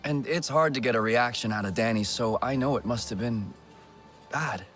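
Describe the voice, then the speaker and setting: An actor playing a good-natured, talkative human builder in a video game, full of enthusiasm. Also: male voice, mid-range voice, normal speed, faint music behind, close to an everyday voice. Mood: chatty. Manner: talkative, animated. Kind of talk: video game dialogue acting.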